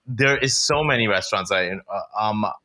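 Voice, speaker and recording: A man's voice: lowish voice, moderate pace, bright, forceful tone. A man from Canada, a podcast guest, chatting casually with many stops and restarts. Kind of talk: casual interview chat.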